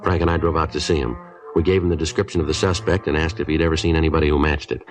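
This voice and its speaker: A stoic American detective in a fifties radio crime drama. His voice sits very low and moves briskly through clipped, factual statements with a deadpan air. It is an old broadcast recording with faint music. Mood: matter-of-fact. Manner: deadpan, terse. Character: stoic, matter-of-fact male police detective. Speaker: actor narrating and playing the lead. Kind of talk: 1950s radio drama, clipped procedural narration.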